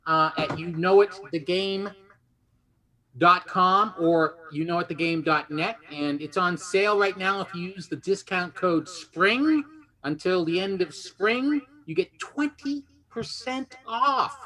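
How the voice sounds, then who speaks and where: A man with a high, very bright voice hosts a game show. He talks off the cuff and jokes with the players as he moves things along. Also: a little slow. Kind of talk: unscripted, joking game show hosting.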